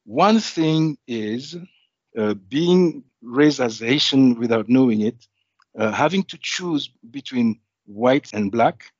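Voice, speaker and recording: Thoughtful male interview guest, reflective and halting, muffled dry recording. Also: medium pitch, expressive, no echo. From Belgium. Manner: thoughtful, with frequent restarts and asides. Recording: dull, slightly muffled audio.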